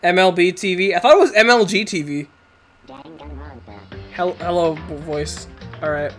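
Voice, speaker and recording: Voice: medium pitch, normal speed, faint music behind. A streamer with a nasal, cold-stuffed voice, rambling casually to the chat while playing, with frequent laughs. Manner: rambling, chatty, laughs often, talks to the chat. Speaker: Twitch streamer playing a video game.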